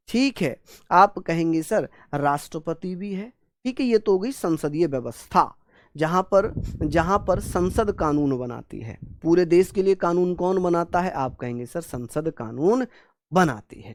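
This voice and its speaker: A woman's voice: mid-range voice, no room sound, clean studio sound. A woman teaching an exam coaching class. She repeats key terms, asks the students questions and answers for them, and mixes in English terms. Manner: acts out students' answers in call and response. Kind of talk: online classroom lecture.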